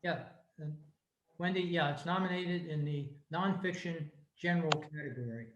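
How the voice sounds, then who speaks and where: A man's voice: fairly high pitch, moderate pace, background noise. A man making a casual announcement on a video call, polite and a little hesitant. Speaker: club member. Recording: roomy, dull video-call audio.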